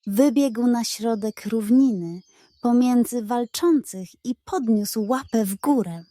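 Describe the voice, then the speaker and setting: Slow, mellow woman storyteller. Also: a little high, no echo. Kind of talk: scripted bedtime story narration for children.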